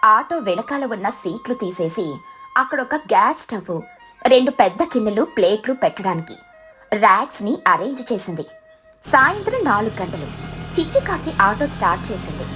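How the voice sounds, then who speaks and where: A woman's voice: mid-range voice, big pitch swings, moderate pace, pushed and bright, soft background music. A woman giving scripted narration for a children's cartoon story.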